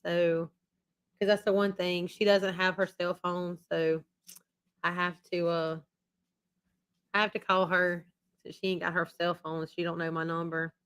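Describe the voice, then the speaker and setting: A woman's voice: lowish voice, level tone, a little slow, pauses often, a bit bright, clear, resonant tone. A woman chatting casually on a live stream as the channel's host, rambling and repeating herself while losing her voice after being sick. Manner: rambling and repetitive.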